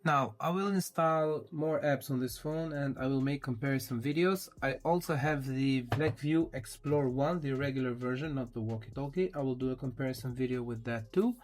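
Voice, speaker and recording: A man's voice: mid-range voice, normal speed, hardly any pauses. A man giving an informal, step-by-step tech review commentary as he handles a device. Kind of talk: casual unboxing walkthrough commentary.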